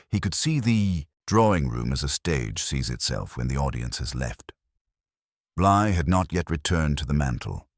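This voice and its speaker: A man reading a scripted literary mystery in a measured, formal and dryly wry manner. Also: rumbling low, slightly rough, dry recording, clean studio sound. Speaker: narrator. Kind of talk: scripted literary audiobook narration.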